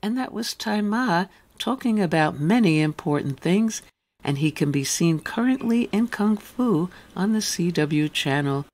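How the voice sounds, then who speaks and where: A woman's voice: lowish voice, animated, measured pace, darkish tone. A woman hosting a radio interview, putting questions to a guest and addressing listeners.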